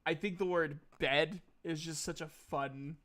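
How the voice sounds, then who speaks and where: A man's voice: fairly high pitch, fairly even intonation, measured pace, a crowd in the background. A man in his thirties bantering casually as game master of a tabletop game, with a giggly, self-deprecating, teasing manner. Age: 30s.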